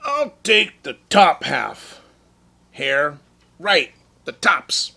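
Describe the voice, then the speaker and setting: A man voicing a lazy, sleepy, slow-witted male bear in a children's story, drawling his words. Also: fairly high pitch, sing-song, vocal fry, sharp tone, full character voice. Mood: drowsy. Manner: drawling, drowsy, dim.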